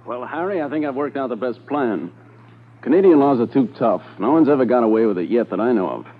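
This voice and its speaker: An actor playing a confident, persuasive crooked gold dealer in a 1950s radio drama, easygoing and colloquial. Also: male voice, medium pitch, expressive, fast talker, lightly acted. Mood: persuasive, eager. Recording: narrow-band vintage 1950s radio recording.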